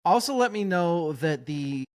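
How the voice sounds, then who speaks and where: A man hosting a podcast, chatting loosely and joking with his co-hosts. He talks in an animated way and swears freely. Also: on the high side, unhurried. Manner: animated, swears often, jokey. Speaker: podcast host. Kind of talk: casual chat.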